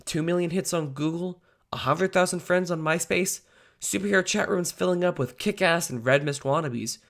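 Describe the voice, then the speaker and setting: A young man playing an earnest, excitable teenage amateur superhero in a comic dub, with some self-deprecation. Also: sits high, moderate pace, vocal fry. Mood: wry, excited narration. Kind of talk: comic book dub acting, first-person narration.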